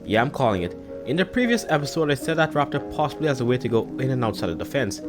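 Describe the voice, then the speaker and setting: A man's voice: medium pitch, sing-song, fast talker. A man giving casual, analytical fan commentary as a video host. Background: background music.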